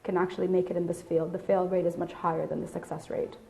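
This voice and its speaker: A woman's voice: fairly low pitch, flat delivery, fast talker, gravelly, warm tone. A woman in her late twenties giving candid, articulate explanations in an interview. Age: late 20s. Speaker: air traffic control officer and instructor being interviewed.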